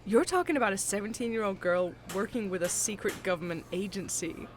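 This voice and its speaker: A woman's voice: medium pitch, brisk, slight background noise, natural voice. A woman playing a sceptical, down-to-earth barista in an audio drama. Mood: sceptical, teasing.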